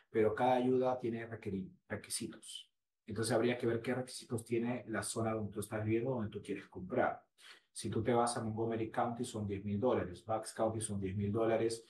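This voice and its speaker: A man in his forties explaining home buying on a video call, with steady explanatory talk that he tags with "¿no?". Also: fairly low pitch. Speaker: real estate agent. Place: small room at home. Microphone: laptop webcam. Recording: roomy, distant mic with faint hiss.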